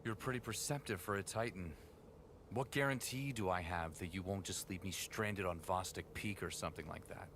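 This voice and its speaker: A man's voice: low voice, normal speed, fairly bright tone, a little hiss or hum. A man acting a weary, self-doubting hero in a fan-made science fantasy audio drama. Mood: doubtful, earnest. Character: weary, self-doubting heroic young male Titan guardian.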